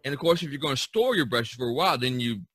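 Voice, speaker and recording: A man hosting a casual podcast chat, talking in a halting way and repeating words. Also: medium pitch, animated, fairly bright tone, husky, no room sound. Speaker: podcast host.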